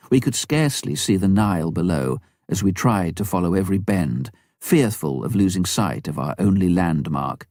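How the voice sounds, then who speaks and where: Low-voiced man reading a memoir. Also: dark tone, no echo, clean studio sound. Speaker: audiobook narrator. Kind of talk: scripted narration of a memoir.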